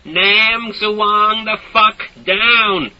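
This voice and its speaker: A man voicing a volatile, bullying young male soldier who shouts orders in a shrill, husky voice. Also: fairly even intonation, extremely slow, lo-fi sound, heavily acted. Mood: barking orders.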